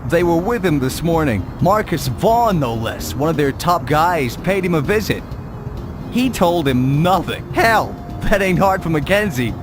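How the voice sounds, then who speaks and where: An actor playing an excitable, streetwise male contact in a video game, slangy and animated. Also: a little high, a little slow, music in the background. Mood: matter-of-fact. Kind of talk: dramatic video game cutscene acting.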